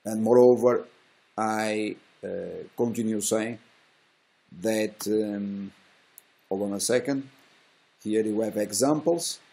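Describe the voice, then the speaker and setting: Halting middle-aged man, low mellow non-native voice. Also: steady tone. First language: not English. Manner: halting, fragmented phrasing with drawn-out hesitations; self-assured, boastful about results. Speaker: stock trading educator and host. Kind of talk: unscripted screen-share market commentary. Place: small indoor room.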